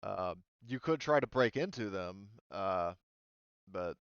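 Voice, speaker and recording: A man casually narrating a tabletop roleplaying game as game master, speaking haltingly as he searches for words. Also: low voice, lively intonation, smooth, no echo, highs cut off.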